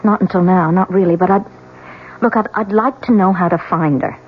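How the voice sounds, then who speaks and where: An actress playing a desperate, hesitant married woman in a radio drama, earnest and halting, with a pleading manner. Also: lowish voice, a little hiss or hum, lightly acted. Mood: anxious, determined. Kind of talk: 1970s radio drama acting. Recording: dull lo-fi radio drama, music behind.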